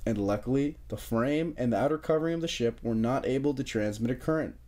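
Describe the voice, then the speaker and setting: Young american guy doing a casual explainer voiceover, medium pitch, jokey and informal. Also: quick pace, dark tone. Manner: informal, wry asides. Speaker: narrator of an amateur history explainer video. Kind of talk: casual, joking scripted narration.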